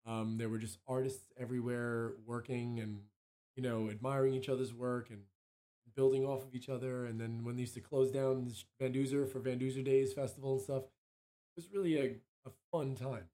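A hoarse man, a musician guest, chatting casually on a local radio show with lots of ums and restarts. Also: medium pitch, level tone, normal speed, mellow. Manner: rambling, many ums and restarts.

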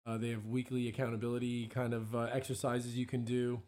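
A hoarse man, a musician and songwriter, chatting casually as an interview guest in a rambling, self-interrupting way. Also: medium pitch, little pitch movement, brisk, a little mellow. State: hoarse from allergies, clearing throat.

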